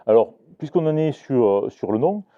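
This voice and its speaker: A man's voice: mid-range voice, expressive, moderate pace, highs cut off. A man teaching about a regional language to a live audience, talking with many restarts and hesitations. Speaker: Occitan teacher giving a talk. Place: book fair talk.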